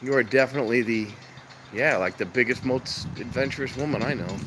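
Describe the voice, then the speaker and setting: Stuffy-nosed male train vlogger, rambling camera-mic chat outdoors over loud traffic noise. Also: medium pitch, fairly quick. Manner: rambling, chatty, easygoing. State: stuffed-up nose. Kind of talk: casual live-stream chat. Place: outdoors by a railroad crossing. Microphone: handheld camera mic, wind noise.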